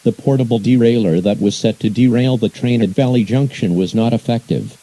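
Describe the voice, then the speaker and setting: A man's voice: mid-range voice, brisk, mellow, dry recording, poor audio. A man reading scripted emergency alerts as an announcer, in a formal, impersonal, synthetic-sounding delivery.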